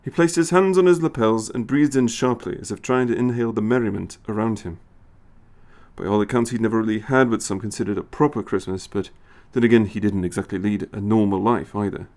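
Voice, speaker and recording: Low-voiced man narrating a story. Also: a little fast, a little mellow.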